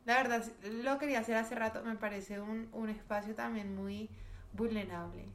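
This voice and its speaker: A young woman from Barranquilla with a light Colombian coastal accent mixed with Bogotá speech, a guest chatting warmly and a little shyly. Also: on the high side, fairly even intonation, normal speed. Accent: mild Colombian coastal mixed with Bogotá. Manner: warm, agreeing, a little shy. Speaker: podcast guest, singer. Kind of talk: casual chat.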